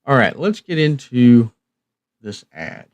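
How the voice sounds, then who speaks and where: A man's voice: medium pitch, big pitch swings, slow, no echo. A man hosting a casual live stream, talking in a folksy, rambling and hesitant way with trailing phrases. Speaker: live stream host, radio reviewer.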